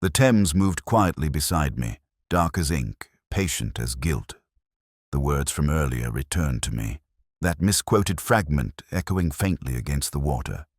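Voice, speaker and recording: A man giving measured, reflective scripted narration as a first-person detective narrator. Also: extremely low pitch, lively intonation, no echo, clean studio sound.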